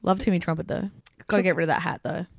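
A woman's voice: fairly low pitch, steady tone, fast talker, gravelly, no echo. Young Australian woman, podcast co-host, loose sweary banter, dry close sound. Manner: sweary, filler-heavy teasing. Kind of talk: casual chat. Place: abroad, travelling in Italy.

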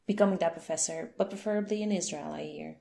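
Chatty young woman, gravelly. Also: lowish voice, quick pace, warm tone. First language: Dutch. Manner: chatty, laughs often. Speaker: interviewer. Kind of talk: casual conversation.

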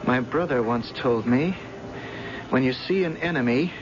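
A man's voice: medium pitch, measured pace, noisy background, lightly acted. An actor in a radio drama playing a grim, vengeful man with a gun. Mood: coldly menacing. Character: grim vengeful man holding a gunman's standoff. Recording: dull lo-fi old radio drama, music.

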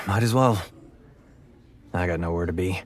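An actor playing a troubled, world-weary 1930s police officer with a terse, brusque delivery in a noir audio drama. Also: male voice, sits low, measured pace. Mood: weary, bitter. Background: music.

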